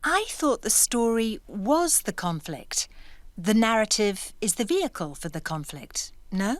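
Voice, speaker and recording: A woman's voice: mid-range voice, big pitch swings, measured pace, no room sound. A woman playing an articulate, confident actress at an audition, polite but pointedly questioning, in naturalistic audio drama. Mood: probing. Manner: polite, hesitant fillers, then pointedly questioning.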